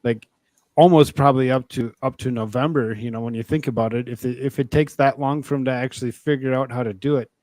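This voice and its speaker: A man's voice: mid-range voice, fairly even intonation, fast talker, a little mellow, no echo. A man hosting a casual online talk show, speaking in a loose conversational way. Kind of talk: casual political chat.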